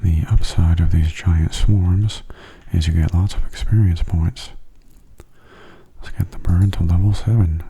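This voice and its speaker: Very soft, husky low-voiced man, near whispering. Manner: calm, unhurried, understated. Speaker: gaming video host. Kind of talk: soft-spoken ASMR gameplay commentary.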